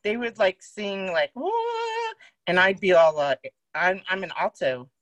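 Woman podcast co-host, lower voice, lively pitch, dry close recording. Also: a little slow, dry recording. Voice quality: deeper voice. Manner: self-deprecating storyteller. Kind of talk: casual chat.

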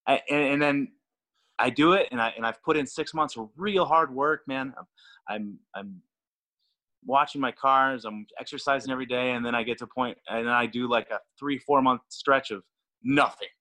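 Bright-voiced stammering man. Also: medium pitch, moderate pace. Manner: stammering, repeats words, laughs easily. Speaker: throwing coach. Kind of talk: casual podcast chat.

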